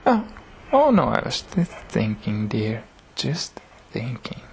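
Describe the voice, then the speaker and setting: A man playing a greedy, scheming small-town butcher in a horror audio drama, expressive and furtive. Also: mid-range voice, takes it slowly, vocal fry, slight background noise, heavily acted, low-quality recording. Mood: furtive, muttering.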